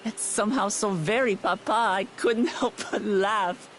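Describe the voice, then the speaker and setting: Actress as unhurried, earnest young game heroine. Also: female voice, mid-range voice, slight background noise, natural voice. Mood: amused. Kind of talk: scripted video game dialogue.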